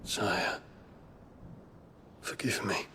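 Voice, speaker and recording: A man playing an earnest, proud young prince in a fantasy game, formal, measured and intense. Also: sharp tone, slight background noise. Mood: subdued. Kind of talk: dramatic fantasy game voice acting.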